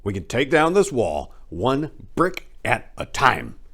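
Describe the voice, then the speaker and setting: A man's voice: mid-range voice, huge pitch swings, slow, fairly bright tone, voice pushed up, full character voice. A man voicing a determined, idealistic younger crime fighter who makes emphatic, rousing speeches in a dramatic story reading. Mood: fired up.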